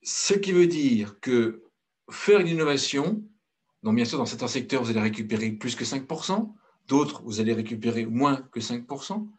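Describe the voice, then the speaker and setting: A man's voice: mid-range voice, expressive, moderate pace, slightly rough. A middle-aged man from France giving a blunt, outspoken presentation as director of a consultancy, with frequent hesitations and restarts. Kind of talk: conference talk.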